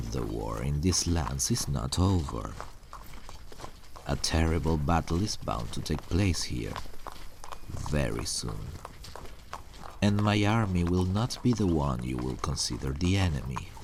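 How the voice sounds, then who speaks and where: Man acting very deep, gravelly menacing warlord king. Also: background noise, full character voice. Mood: stern, controlling. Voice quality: gravelly, husky, very low voice. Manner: condescending, slow, deliberate, coldly threatening. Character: menacing, possessive warlord king threatening his captive queen. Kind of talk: medieval roleplay audio drama, ASMR.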